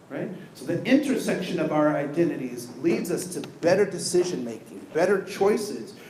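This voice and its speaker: An energetic man with a high voice leads a diversity training session, often asking the audience questions. He is recorded in an echoey room with faint background noise. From United States. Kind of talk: interactive training talk.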